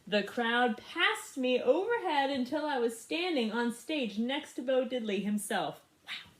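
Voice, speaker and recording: A woman reading a picture book aloud in an expressive, animated way for young listeners. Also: high-pitched, roomy sound, low-quality recording. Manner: animated, pauses to ask young listeners questions. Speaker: storybook reader. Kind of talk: expressive children's read-aloud.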